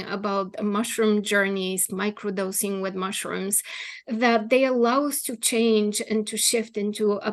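A middle-aged woman, a former attorney turned healer, speaking as a podcast guest in an earnest, reflective way and often adding "right?". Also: mid-range voice. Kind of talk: casual interview conversation.

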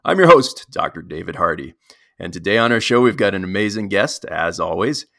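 Low gravelly guy hosting a podcast, lots of uhs, dry close sound. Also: no room sound. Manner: enthusiastic intro, then relaxed and halting with many fillers. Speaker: podcast host. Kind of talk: podcast interview.

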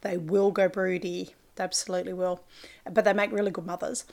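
This is an Australian woman hosting a farming podcast on her own. Her voice is hoarse and gravelly as she gets over a chest infection. She talks in a loose, chatty way, full of fillers and little restarts. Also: lowish voice, little pitch movement, fairly quick, a little mellow. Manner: chatty and rambling, with many fillers and self-corrections. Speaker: podcast host and farmer. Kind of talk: casual solo podcast chat.

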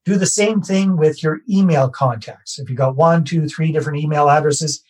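A Canadian man, a real estate investor, talking through his process in a relaxed podcast interview and repeating his key points. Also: fairly high pitch, moderate pace, breathy edge. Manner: conversational, self-deprecating, repeats key phrases.

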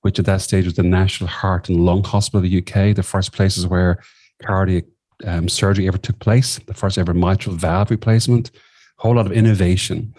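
Irish male podcast guest, fifties, low and mellow voice, wry conversational storyteller. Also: level tone, a little fast, no room sound. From southwest of Ireland. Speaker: podcast guest, former nurse and coach.

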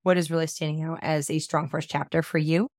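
Low-voiced woman podcast host, scratchy. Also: flat delivery, fairly quick, a little mellow, no echo, studio quality. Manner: enthusiastic, upbeat. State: scratchy voice from a cold. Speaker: podcast host, book coach. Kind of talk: podcast intro and casual chat.

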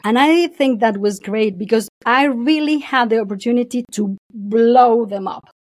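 A woman's voice: on the high side, moderate pace, dry recording, studio quality. A woman guest in a casual podcast conversation, talking expressively and laughing often. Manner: expressive, laughs often, acts out quoted voices.

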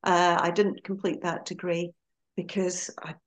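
Chatty Scottish woman, low-pitched. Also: little pitch movement, unhurried. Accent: Scottish, Aberdeen. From Scotland. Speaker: podcast guest, hypnotherapist. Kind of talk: casual interview chat.

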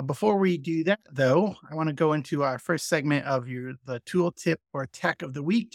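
Male podcast host, fairly high voice, dry close sound, friendly and chatty with restarts. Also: dry recording. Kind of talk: conversational podcast interview.

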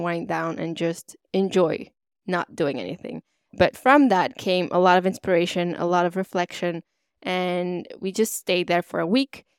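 A woman's voice: fairly low pitch, clear, resonant tone, no room sound, clean studio sound. A woman hosting her own podcast in an upbeat, motivational, chatty way, with a stuffy, slightly nasal voice from a cold. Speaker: podcast host. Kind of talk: solo podcast monologue.

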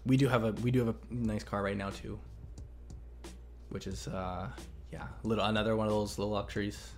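Relaxed rambling man, faint music behind. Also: fairly low pitch, brisk. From Canada. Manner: relaxed, rambling, many fillers and restarts. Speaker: investing channel host. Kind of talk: casual Q&A chat.

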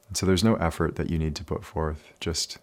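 A man leading a guided meditation in a calm, soothing, unhurried manner with many pauses. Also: low-pitched.

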